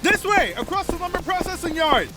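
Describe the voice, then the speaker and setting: An actor playing an urgent, excitable young male comrade leading an escape in an action audio drama. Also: extremely high pitch, a little fast. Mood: urgent, alarmed. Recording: action music behind the voice.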